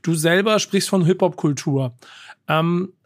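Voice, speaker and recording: A man with a somewhat high voice hosts the interview, asking questions while hesitating and hunting for the right words. The sound is dry and close. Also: a little slow, no echo. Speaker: interviewer, podcast host.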